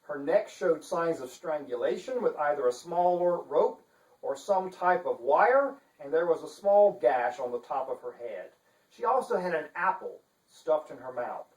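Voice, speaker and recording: A man with a pompous, theatrical manner giving a deliberate dramatic reading as an author. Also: on the high side, very animated. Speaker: author reading his novel. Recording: echoey room, distant microphone.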